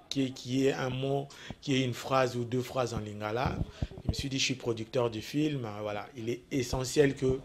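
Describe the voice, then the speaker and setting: A man's voice: medium pitch, slight background noise. Hesitant Congolese-born male film producer. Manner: hesitant, repeats himself, mixes in Lingala. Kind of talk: TV interview, casual answers.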